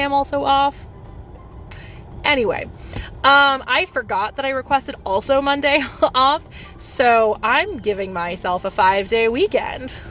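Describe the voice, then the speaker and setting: Chatty young woman with a deep voice. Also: fairly bright tone. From Minnesota, USA. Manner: rambling, chatty, many ums and self-corrections. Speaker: vlogger. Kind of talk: casual chat.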